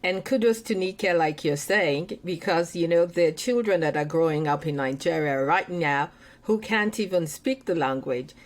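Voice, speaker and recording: A woman's voice: lowish voice, moderate pace. A woman hosting an interview, asking questions and encouraging her guest with frequent short affirmations. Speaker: podcast host.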